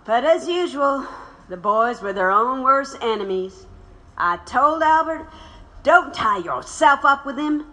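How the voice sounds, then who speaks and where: A woman's voice: fairly high pitch, sharp tone, low-quality recording. A woman playing a tough, reflective widowed revolutionary in an audio drama. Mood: reflective, bitter.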